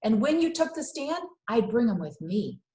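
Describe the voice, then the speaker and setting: A woman's voice: a little high, big pitch swings, normal speed, dark tone, reverberant, pitched above the performer's own voice. A woman voicing an earnest young boy in a children's storybook, pleading and insistent.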